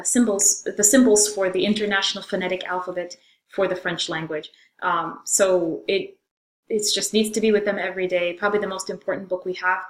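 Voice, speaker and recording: Echoey middle-aged woman teacher, pausing often. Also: mid-range voice. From Zambia. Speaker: middle school French teacher. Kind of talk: informal course introduction presentation. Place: room in a house, indoors. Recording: echoey room sound.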